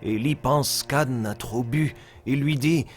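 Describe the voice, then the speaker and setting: A man's voice: medium pitch, slow, faint music behind. A man narrating a scripted Bible story for young children as a storyteller.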